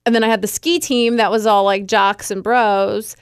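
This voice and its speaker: A woman co-hosting a casual chat podcast, chatty and quick to laugh. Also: medium pitch, fairly even intonation, smooth, no room sound, clean studio sound. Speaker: podcast co-host.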